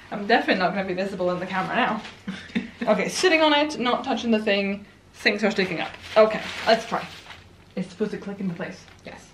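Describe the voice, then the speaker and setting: A woman's voice: mid-range voice, lively intonation, a little fast, far from the mic, slight background noise. A chatty Swedish woman in her twenties rambles along in English with a Swedish accent, laughing between thoughts. Her voice comes from a little away from the camera, and the room around her echoes. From Sweden. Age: 20s. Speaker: book vlogger. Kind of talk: casual chat while assembling furniture. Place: room at home.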